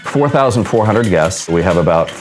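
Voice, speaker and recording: A man's voice: low-pitched, lively intonation, normal speed, rough recording. A middle-aged man with an American accent, speaking as a hotel director in interview soundbites for a promotional film. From Seattle, United States. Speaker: cruise ship hotel director. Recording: music behind the voice. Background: background music.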